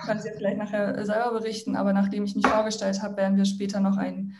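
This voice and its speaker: A woman's voice: medium pitch, completely flat delivery, fast talker, heavy background noise. A young woman from Iran, a guest social entrepreneur introducing herself on a video call in a friendly way, with many fillers and restarts. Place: home room, indoors. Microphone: laptop mic on video call.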